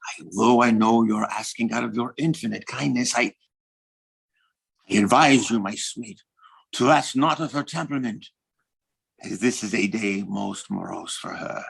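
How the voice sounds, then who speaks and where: A man's voice: fairly low pitch, a little slow, gravelly, fairly bright tone, breathy edge, heavily acted, poor audio. A man playing a doting, self-important king in a period audio drama, speaking in flowery, courtly phrases. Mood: gentle, cautioning. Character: doting, self-important French king, adult, mother-obedient.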